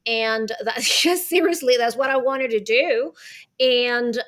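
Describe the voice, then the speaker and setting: A woman from Venezuela, a guest on a podcast, talking in a lively, chatty way with many fillers like "right?". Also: fairly high pitch, slow pace. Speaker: guest, arts consultant and author. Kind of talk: podcast interview.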